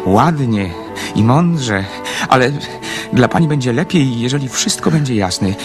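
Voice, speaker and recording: An actor playing a shy, lonely, romantic young man who speaks haltingly and stammers in a radio drama. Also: medium pitch, lively intonation, natural voice. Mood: flustered, earnest. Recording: music playing under the radio play.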